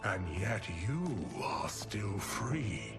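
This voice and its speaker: Sneering haughty villain, drags words out, loud game music behind. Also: male voice, on the low side, animated, extremely slow. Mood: suspicious. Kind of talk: dramatic video game cutscene acting.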